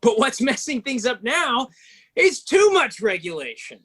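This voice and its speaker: A man's voice: very high-pitched, very animated, a little slow, a bit bright, full character voice. A man playing a chatty, wry banking man in a scripted table read.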